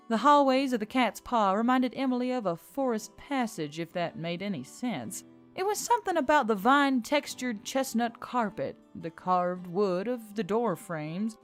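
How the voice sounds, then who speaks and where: Mid-pitched woman narrating an audiobook. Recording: music under the voice.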